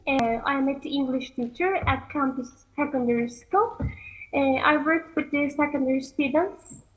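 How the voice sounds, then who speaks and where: A woman from Turkey, an English teacher giving careful, halting interview answers. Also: high voice, rough recording.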